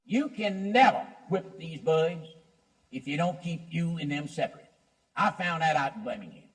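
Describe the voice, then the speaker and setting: A man speaks bluntly and insistently, as in a political speech. His voice sits a little high and has a rough, husky grain. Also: animated, moderate pace, poor audio.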